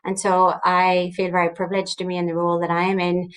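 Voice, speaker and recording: A woman's voice: on the low side, monotone, fast, smooth, poor audio. A woman with a Northern Irish accent answers a panel question on a video call from home, hesitant and full of fillers. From Northern Ireland. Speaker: research director. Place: home room with lamps.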